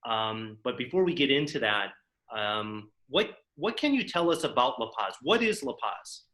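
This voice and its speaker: Bright-voiced man hosting an interview. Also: mid-range voice, lively intonation, moderate pace. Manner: friendly, uses fillers. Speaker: interview host. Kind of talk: conversational interview.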